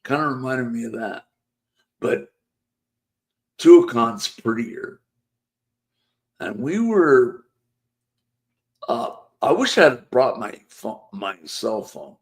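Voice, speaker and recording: A man hosts a live chat show while losing his voice, so his low voice comes out rough and gravelly. He rambles along at an easy, slow pace, reading viewer comments aloud. His pitch swings widely as he talks. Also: very animated. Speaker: host.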